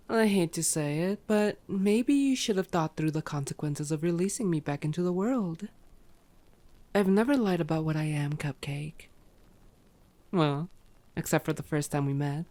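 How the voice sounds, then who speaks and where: A woman's voice: low-pitched, warm tone. A woman playing a sardonic, teasing, bloodthirsty female vampire in an ASMR roleplay, drawing out words and sometimes sinking toward a whisper. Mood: defiant, taunting. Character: teasing, unrepentant bloodthirsty female vampire, old yet youthful-sounding.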